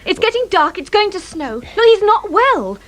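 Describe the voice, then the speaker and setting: A fretful young British woman in a radio play, urgent and distraught. Her voice is extremely high and rises and falls sharply. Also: very animated, normal speed, fairly bright tone, heavily acted. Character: anxious, distraught young daughter of a missing man. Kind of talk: British radio drama acting.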